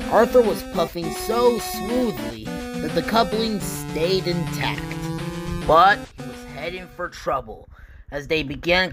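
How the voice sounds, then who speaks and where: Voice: mid-range voice, fairly bright tone. A narrator reading a scripted children's-style story for a comic dub.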